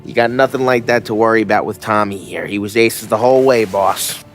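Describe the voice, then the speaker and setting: An actor playing a cocky, teasing American mob enforcer in a 1930s crime game, with brash wiseguy talk. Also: male voice, lowish voice, fairly quick, faint music behind, lightly acted. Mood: assured. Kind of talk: cinematic video game acting.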